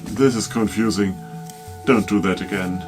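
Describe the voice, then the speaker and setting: A man's voice: fairly low pitch, steady tone, measured pace. A man hosting a scripted comedic craft video, asking eager questions with self-deprecating humor, with music playing behind. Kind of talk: scripted comedic tutorial dialogue. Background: background music.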